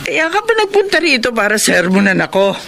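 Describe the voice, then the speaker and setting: A woman's voice: a little high, sing-song, fairly quick, vocal fry, a bit bright, slight background noise, exaggerated voice. An actress playing a harsh, domineering middle-aged mother in a melodramatic radio drama, scolding and explosive. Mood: weary, resentful.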